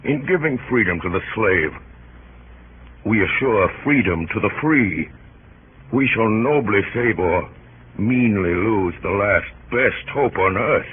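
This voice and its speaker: An actor playing a grave, resolute wartime American president in a solemn, measured, oratorical 1930s radio drama style, with a husky voice. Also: male voice, lowish voice, animated, slight background noise. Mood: grave oratory. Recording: muffled, phone-like 1930s radio recording.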